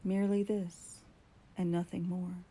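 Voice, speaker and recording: A woman giving a dramatic, deliberate recitation of gothic poetry, with drawn-out phrasing and strong emphasis. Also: fairly low pitch, level tone, dark tone, breathy edge. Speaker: poetry reader.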